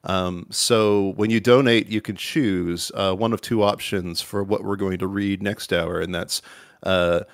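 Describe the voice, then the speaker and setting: A man's voice: low-pitched, fairly even intonation, a little slow, dry recording. A man hosting a charity livestream, announcing donation rewards in a rambling, filler-heavy way. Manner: rambling, many fillers and restarts. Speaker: livestream host.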